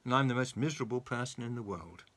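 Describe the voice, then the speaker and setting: A man's voice: mid-range voice, fast talker, fairly bright tone, lightly acted. An older man voicing a gloomy, self-pitying little storybook man who talks in a sulky, sniffy way.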